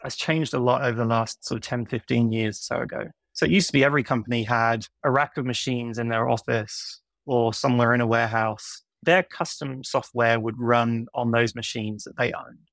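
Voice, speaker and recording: A man's voice: medium pitch, steady tone, brisk, creaky, hardly any pauses, a bit bright, no echo. A middle-aged man with a blended British and American accent, explaining things casually as an interview guest. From United Kingdom. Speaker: podcast guest, software consultant.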